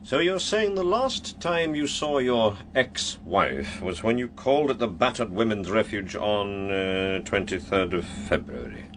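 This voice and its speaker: An actor playing a wry, authoritative police inspector with a Scottish accent in a radio crime drama. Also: male voice, fairly low pitch, animated, unhurried, hardly any pauses, fairly bright tone, a little hiss or hum. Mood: stern.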